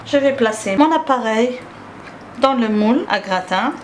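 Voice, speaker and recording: A fairly high-pitched woman with an Algerian accent explains a recipe one step at a time, and Arabic is her first language. She talks in a gentle, teaching way and often pauses on filler sounds. A faint hiss of noise sits under her voice. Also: animated. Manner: hesitant, frequent filler sounds. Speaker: cooking channel host.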